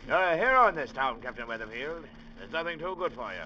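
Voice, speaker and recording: An actor in an old radio drama playing a pompous, effusive small-town judge who speaks like an orator. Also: male voice, medium pitch, lively intonation, quick pace, bright, forceful tone. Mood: effusive, flattering. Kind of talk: 1950s radio drama acting. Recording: muffled, lightly noisy old radio recording.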